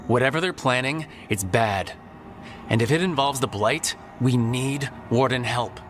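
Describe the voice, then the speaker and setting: A man's voice: medium pitch, a little slow, fairly bright tone, slight background noise, close to an everyday voice. Man playing a determined, wry game hero. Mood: earnest. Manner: direct, casually dry. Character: determined, wry male adventurer leading a mission. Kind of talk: fantasy video game voice acting.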